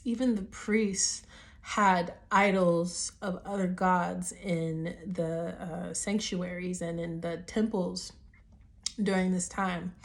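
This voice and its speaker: A woman minister speaks slowly, her voice a little raspy and deep. She teaches a devotional message, starting soft and hushed and building to emphatic preaching.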